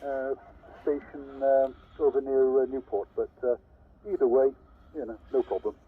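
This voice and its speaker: A man's voice: medium pitch, fairly even intonation, normal speed, narrow, tinny audio. A man chatting casually as a radio operator, his voice coming over a CB radio. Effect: heard over CB radio. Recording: distant, noisy CB radio reception.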